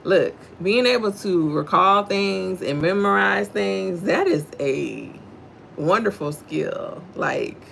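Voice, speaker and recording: Deep-voiced Texan woman with a gravelly edge. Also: a bit bright, a little hiss or hum. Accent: Southern US, Texan. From Houston, Texas, USA. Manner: talks low and slow, greets viewers by name. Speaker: livestream host. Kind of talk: devotional reading and casual chat with live viewers.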